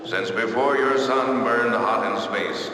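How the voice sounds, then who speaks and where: An actor playing an ancient, patient male cosmic being who intones slowly and solemnly, heard as a clip from a production. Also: medium pitch, fairly even intonation, noisy background, natural voice. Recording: heavy hall echo from far off.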